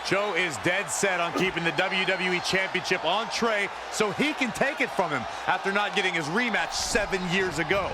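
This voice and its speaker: A man playing an opinionated, excitable wrestling commentator in a video game, calling the action dramatically. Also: high voice, normal speed, few pauses, very noisy, lightly acted. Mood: excited.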